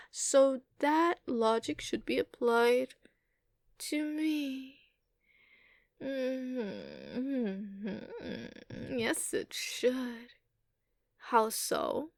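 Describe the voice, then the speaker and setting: A woman voicing an ageless giantess dragon in an ASMR roleplay, haughty, doting and possessive, teasing with condescension and drawn-out hums. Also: fairly high pitch, creaky, a little mellow, no echo, studio quality, heavily acted. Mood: condescending.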